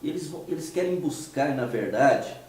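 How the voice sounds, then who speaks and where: A hoarse man with a Mineiro Brazilian Portuguese accent talks through his commentary at a relaxed, unhurried pace. His voice is dark and mellow, with rhetorical questions and hesitant restarts. He sits well back from the mic in a very echoey room, with faint noise underneath. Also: a little high, distant mic. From Minas Gerais, Brazil. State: hoarse from a cold. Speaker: host of a commentary show. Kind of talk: casual monologue commentary.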